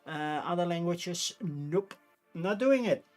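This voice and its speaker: A man's voice: high-pitched, lively intonation, slow, faint music behind. A man with a Dutch accent, a native Dutch speaker, chatting casually and thinking aloud as a livestream host. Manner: rambling, informal, thinking aloud. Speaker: livestream host reviewing board game crowdfunding.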